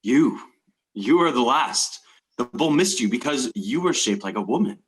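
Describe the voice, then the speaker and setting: A man's voice: mid-range voice, lively intonation, normal speed, natural voice. A man acting a menacing, gloating mad lord in a stage play reading, with theatrical, archaic, taunting speech and music behind him.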